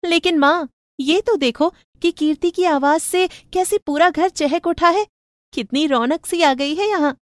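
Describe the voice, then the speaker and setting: Young modern sister, spirited, persuasive, pitched well up, dry close sound, female narrator doing the voice. Cartoon moral story. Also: a little slow, dry recording. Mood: persuading. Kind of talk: animated moral story dialogue.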